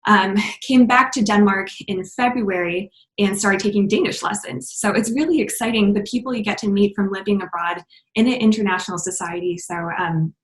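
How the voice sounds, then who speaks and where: An upbeat woman hosts a podcast at a mid pitch. She reads out a guest introduction and moves into easy chat, with a roomy echo around her voice. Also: a little fast. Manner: upbeat, laughs often. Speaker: podcast host. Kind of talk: reading a guest introduction, then casual interview chat.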